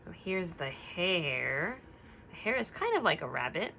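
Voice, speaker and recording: A woman teacher reading a picture book aloud to young children, instructive and deliberate, stopping to put questions to them. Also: fairly low pitch, very animated, creaky, sharp tone, slight background noise.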